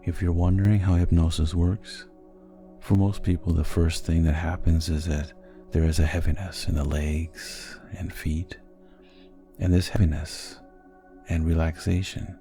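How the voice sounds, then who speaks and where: Male sleep hypnosis narrator, very low and gravelly, soothing and measured, over faint music. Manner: soothing, gentle, measured delivery. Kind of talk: sleep hypnosis guided meditation, scripted narration.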